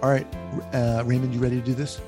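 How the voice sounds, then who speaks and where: A man hosting an interview podcast, with an upbeat, energetic delivery when introducing guests. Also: medium pitch, quick pace, over music. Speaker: podcast host.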